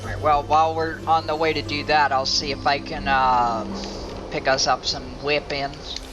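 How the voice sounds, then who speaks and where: A man's voice: a little high, little pitch movement, normal speed, highs cut off. A man giving casual let's play commentary as a gaming host, rambling and thinking aloud. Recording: game music behind the voice.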